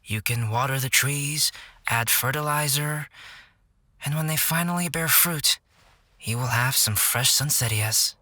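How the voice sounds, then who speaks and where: Anime game scholar: young male alchemist, calm, measured, subtly teasing, mid-pitched and bright. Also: dry recording, clean studio sound. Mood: matter-of-fact. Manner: measured, composed, articulate.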